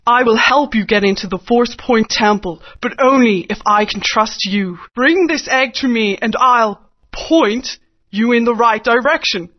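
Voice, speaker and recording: A woman voicing an eccentric, wary mother creature who gives deliberate, cryptic guidance in a video game. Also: a little high. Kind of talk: video game character acting. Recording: dull lo-fi game audio.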